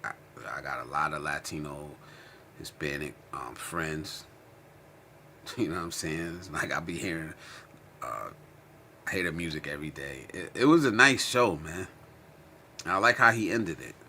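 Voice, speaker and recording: American guy livestreaming, real deep voice, punchy and bright, slangy casual talk, bit of background noise. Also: moderate pace, pauses often. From Connecticut, United States. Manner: slangy, informal, often ends phrases with "man"; emphatic repetition of phrases. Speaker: livestream host. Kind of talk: casual chat, livestream commentary answering viewer comments.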